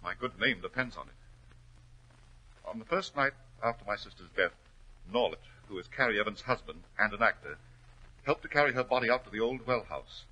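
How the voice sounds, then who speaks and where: A cornered, hot-tempered nobleman in vintage radio melodrama. His rough, tense voice swings widely in pitch as he pleads with great passion, on an old 1940s recording. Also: animated, moderate pace, bright, forceful tone, muffled recording, exaggerated voice. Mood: desperate pleading. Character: desperate, hot-tempered aristocrat racehorse owner, cornered and guilty.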